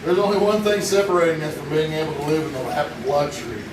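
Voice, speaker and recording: A man's voice: a little high, fairly even intonation, fast talker, lightly acted. A man playing a joking young tunnel digger. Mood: cheerful. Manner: joking, laughing. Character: jokey young male digger. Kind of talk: live old-time radio acting. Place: live theater stage. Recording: echoey stage, distant mic, noisy.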